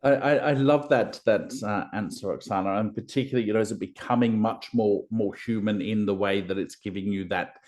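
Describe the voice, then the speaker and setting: A man's voice: on the low side, brisk, hardly any pauses, darkish tone. A man moderating an online panel who asks the speakers brief questions. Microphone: video call.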